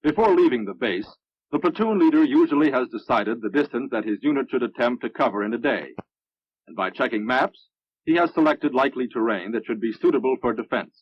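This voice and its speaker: An American man narrates a military training film with measured, instructive delivery. His voice is a bit husky and sits at a middling pitch. The sound is dry and dull, like a vintage film soundtrack. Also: lively intonation, dry recording.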